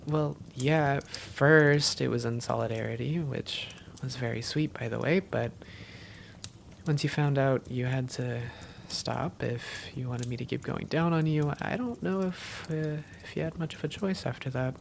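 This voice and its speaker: Woman playing a low, creaky-voiced vampire girlfriend. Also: unhurried, slight background noise, exaggerated voice. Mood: playful, tender.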